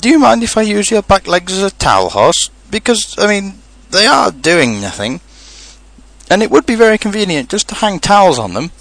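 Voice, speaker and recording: A man voicing a fussy, brisk, politely stern rabbit from a storybook, prim and matter-of-fact. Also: high-pitched, sing-song, creaky, fairly bright tone, no echo, voice pushed up, full character voice. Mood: cheerful. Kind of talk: storybook character reading.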